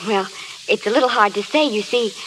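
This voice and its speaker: A woman's voice: mid-range voice, a little fast, lightly acted, low-quality recording. A woman acts a sweet young American hopeful in a 1930s radio drama. She speaks politely and earnestly, and the old recording has a light hiss. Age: young adult. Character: hopeful, earnest young small-town woman chasing stardom.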